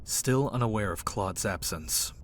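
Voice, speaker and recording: A man's voice: lowish voice, unhurried, slight background noise. A man reading scripted story narration for an audio drama, with music playing underneath.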